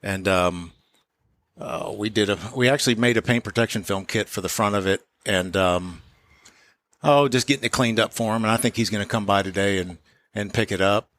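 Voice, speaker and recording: A man explaining car work in a casual, matter-of-fact way as an interviewed shop owner, pausing and restarting as he goes. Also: lowish voice, a bit bright, dry recording.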